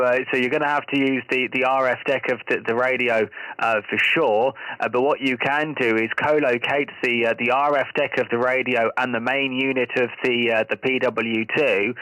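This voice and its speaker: A man talking radio gear as an amateur operator, casual and technical, explaining things in a measured way. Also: mid-range voice, pushed and bright, no echo, poor audio.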